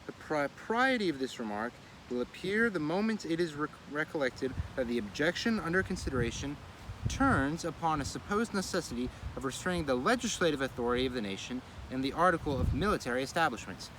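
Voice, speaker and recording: A man reading an old political essay aloud in a measured, oratorical way, now and then stumbling and correcting himself. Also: on the high side, lively intonation. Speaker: reader of a daily series. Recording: clear street and vehicle noise.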